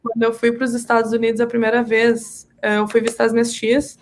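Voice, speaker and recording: A woman's voice: a little high, monotone, brisk, slight background noise. A woman with a southern Brazilian accent, chatting casually as a podcast guest and telling personal stories in an emphatic way, repeating words for emphasis. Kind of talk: casual, animated personal storytelling in conversation.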